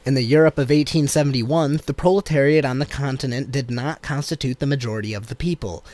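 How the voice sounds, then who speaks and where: A man reading a political text aloud as an audiobook, in a measured, deliberate cadence. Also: medium pitch, darkish tone. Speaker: audiobook reader.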